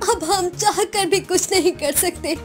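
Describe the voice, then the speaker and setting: A woman's voice: very high-pitched, measured pace, soft background music, pitched above the performer's own voice. A woman voicing a worried, distressed village woman in a cartoon moral story. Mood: troubled, resigned.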